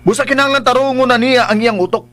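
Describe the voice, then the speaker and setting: A man's voice: very high-pitched, fast, fairly bright tone. A man playing a possessive, irritable young son of a rich household in a radio drama, stern and demanding, with a Bisaya accent. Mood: sullen. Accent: Filipino, Bisaya.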